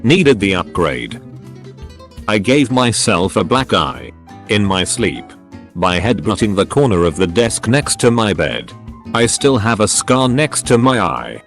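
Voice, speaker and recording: A man's voice: fairly low pitch, very animated, measured pace, fairly bright tone. A man narrating short online stories in a scripted, recited read-aloud style. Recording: music behind the voice. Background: background music.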